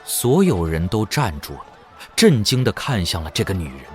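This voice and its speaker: Male audio drama narrator, lowish register, animated intonation, light music underneath. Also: normal speed. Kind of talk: scripted dramatic story narration.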